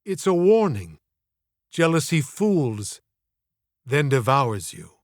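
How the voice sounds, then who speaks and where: A man's voice: mid-range voice, big pitch swings, takes it slowly, dry recording, studio quality. A man voicing an earnest, determined schoolboy in an audiobook, speaking in a grand, declamatory manner. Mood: earnest, sure.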